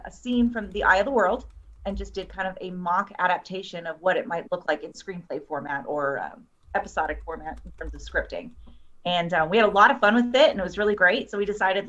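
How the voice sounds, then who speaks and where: A woman's voice: lowish voice, a little fast, a bit bright, muffled recording. A woman guest explaining things in casual chat, as a screenwriter would on an online show.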